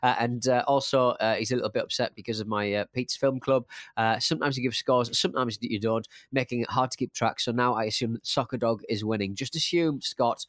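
A British man co-hosting a casual football podcast, reading out emails in a halting, jokey way. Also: mid-range voice, fairly bright tone, no echo, studio quality.

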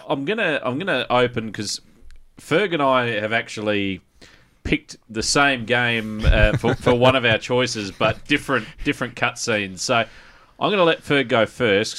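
A man's voice: lowish voice, measured pace, sharp tone. A man with an Australian accent hosting a podcast in a casual, chatty style. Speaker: podcast host. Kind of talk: casual chat, introducing segments.

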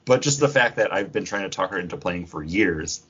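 A man co-hosting a podcast, casually running through news with a fan's enthusiasm and a husky voice. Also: low voice, expressive, fairly quick, fairly bright tone. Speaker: podcast co-host. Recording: dull lo-fi recording.

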